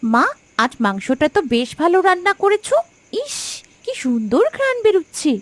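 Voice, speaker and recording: A woman's voice: very high voice, sing-song, moderate pace, no room sound. A woman voicing an eager, sweet little village girl in a cartoon folk tale. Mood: delighted. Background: background music.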